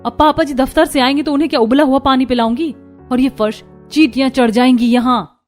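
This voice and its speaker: Woman playing an overworked, exasperated young daughter-in-law, high-voiced. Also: steady tone, fairly quick, faint music behind, natural voice. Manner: complaining, put-upon. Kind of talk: Hindi cartoon story voice acting.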